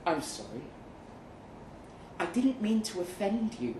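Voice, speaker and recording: A man voicing a resolute, world-weary older woman with a smooth, emphatic delivery in a short story reading. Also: high-pitched, sing-song, slow pace, pitched above the performer's own voice, exaggerated voice. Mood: apologetic, businesslike. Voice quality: smooth-toned. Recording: roomy, distant live venue recording, faint noise.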